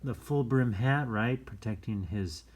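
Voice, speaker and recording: A man's voice: mid-range voice, measured pace, slightly rough. A man hosting a read-aloud in a casual style, with easygoing, rambling explanatory asides. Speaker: storytime reader and host.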